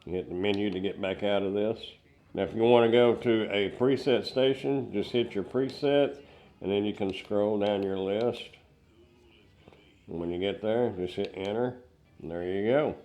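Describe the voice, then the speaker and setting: Older man, mellow casual product walkthrough. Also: lowish voice, normal speed. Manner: plain-spoken, step-by-step explaining. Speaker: product reviewer. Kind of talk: casual hands-on product review.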